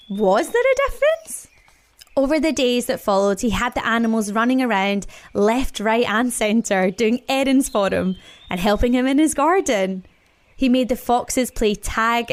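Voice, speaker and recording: A woman narrating a children's story expressively, with wry, playful asides and dramatic pauses. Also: fairly high pitch.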